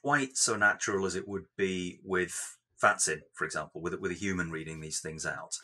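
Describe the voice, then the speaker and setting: Male tech interviewee, deep register with a forward, bright edge, explaining carefully and in measured phrases. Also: fairly even intonation. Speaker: software developer being interviewed.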